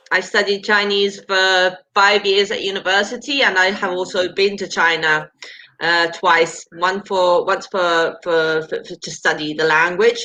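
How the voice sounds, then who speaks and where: A woman's voice: fairly low pitch, pushed and bright. A woman from Italy with an English accent, a language teacher chatting on a live call and correcting herself as she goes. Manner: chatty, self-correcting, laughing between thoughts. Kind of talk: casual conversation on a live call.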